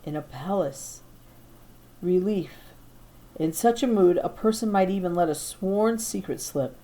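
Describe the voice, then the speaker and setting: A woman teacher reading a storybook aloud to her class, expressive, now and then stumbling and repeating a word. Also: lowish voice, measured pace. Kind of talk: storybook narration read aloud.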